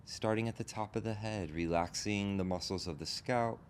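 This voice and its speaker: Low-voiced man guiding a meditation. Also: moderate pace. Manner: gently instructing in short, spaced phrases. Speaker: meditation teacher. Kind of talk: guided meditation. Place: group meditation session in a room. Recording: background rumble and noise.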